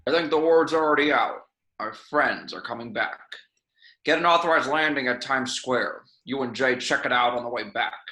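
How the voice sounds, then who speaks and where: Brief: man as upbeat agency chief giving orders, bright voice, home table read in a roomy echo. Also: mid-range voice, moderate pace, natural voice. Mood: pleased, brisk. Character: upbeat, bossy male spy agency chief. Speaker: amateur actor in a table read. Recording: roomy, echoing home recording.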